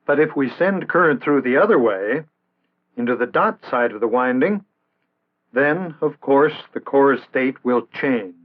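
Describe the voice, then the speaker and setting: Tinny vintage film narrator, American man. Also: mid-range voice. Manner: measured, formal, didactic. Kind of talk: scripted instructional narration, early 1960s film. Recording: tinny band-limited vintage 1960s film soundtrack.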